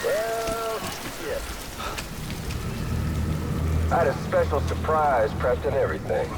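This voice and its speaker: An actor playing a gleeful, taunting villain in a video game, drawling with mocking showmanship. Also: male voice, fairly high pitch, wildly swooping pitch, normal speed, very noisy. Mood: mock disappointment. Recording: loud music over the voice.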